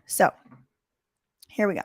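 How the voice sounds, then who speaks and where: A woman lawyer with a hoarse, weakened voice, reading court papers aloud and explaining them emphatically in plain terms. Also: slow pace, no echo, studio quality. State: recovering from lost voice. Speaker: lawyer commentator. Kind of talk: reading and commenting on court documents.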